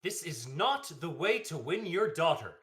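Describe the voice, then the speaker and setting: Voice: mid-range voice, very animated, measured pace, a bit bright. A young actor playing a scheming, proud and persuasive usurper king in a Shakespearean radio play, in emphatic formal verse. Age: young adult. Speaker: student actor.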